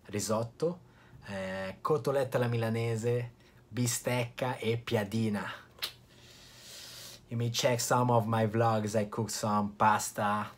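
A young man with an Italian accent, from Italy, chatting to camera in a casual vlog with relaxed restarts and short pauses. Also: fairly low pitch, a little hiss or hum. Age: young adult. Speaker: vlogger.

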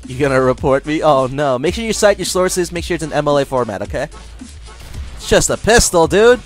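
A young man gaming on a headset, needling his opponent with dry, mocking sarcasm. His pitch sits a little high and swings widely from flat jabs to squeaky bursts. Faint music runs in the background. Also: fairly quick. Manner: mocking, sarcastic, deadpan jokes, bursts of laughter. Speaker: gamer trolling an online opponent. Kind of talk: taunting party chat over gameplay.

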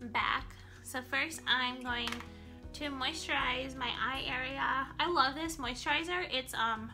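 Young woman vlogging while she does makeup, high bright voice, kinda nasal cuz she's sick, lots of um and like. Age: young adult. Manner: chatty, rambling with ums and likes. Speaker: beauty vlogger. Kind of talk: casual chat while doing makeup. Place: small bedroom indoors. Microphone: camera in front, close framing.

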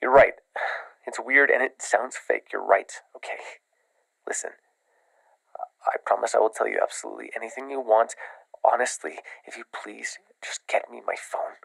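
Desperate, evasive young male caller acted by a man, very creaky voice, halting and pleading, dry close sound, audio roleplay. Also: medium pitch, steady tone, fairly bright tone, no echo, whispered, poor audio. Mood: urgent, desperate. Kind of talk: immersive ASMR roleplay voice acting.

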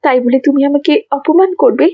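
A woman's voice: sits high, brisk, no room sound, muffled recording, natural voice. A woman voicing a vain cartoon mother who is emotional and pleading, distraught and remorseful. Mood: hurt, indignant. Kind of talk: dramatic cartoon voice acting.

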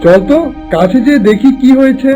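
A man's voice: very high-pitched, lively intonation, a little fast, music in the background. A man voicing a humble, earnest young village cowherd in a fairy-tale cartoon. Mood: concerned, earnest.

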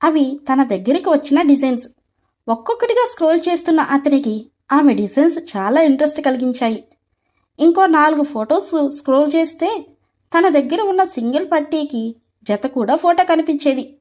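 A woman's voice: high voice, animated, normal speed, darkish tone. A woman storyteller reading scripted narration for a story.

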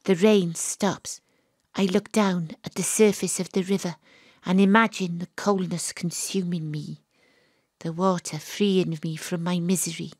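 A woman's voice: fairly low pitch, fairly even intonation, a little slow, darkish tone, slightly rough, no echo. A weary woman private eye, wry storytelling for an audio play, really dry sound. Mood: bleak. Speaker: narrator and lead character. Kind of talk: first-person audio drama narration.

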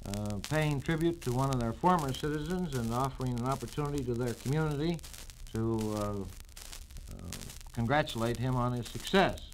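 A man giving formal, halting answers in a radio interview, pausing hesitantly often. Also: mid-range voice. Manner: halting, hesitant with many pauses. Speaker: state campaign chairman, company president. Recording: noisy, clicking 1940s radio transcription disc.

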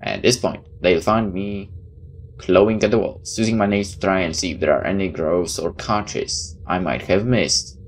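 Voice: low voice, normal speed. A gameplay host reading visual novel text aloud expressively and adding casual comments. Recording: clear background noise.

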